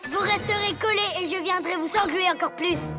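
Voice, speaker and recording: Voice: very high-pitched, brisk, over music. An actor voicing a boastful young boy ninja villain in a French cartoon dub, with a theatrical, gloating manner.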